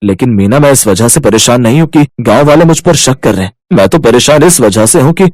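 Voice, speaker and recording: Kind village cook played by a man, lively intonation. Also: medium pitch, brisk, no room sound, natural voice. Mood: worried. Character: kind, humble village eatery owner. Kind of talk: storybook character dialogue. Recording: dry studio voice over music.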